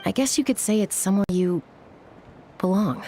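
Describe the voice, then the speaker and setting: A woman's voice: medium pitch, moderate pace, mellow, a little hiss or hum, lightly acted. A woman playing an easygoing, reassuring, thoughtful young friend in a video game. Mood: reflective. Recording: music behind the voice.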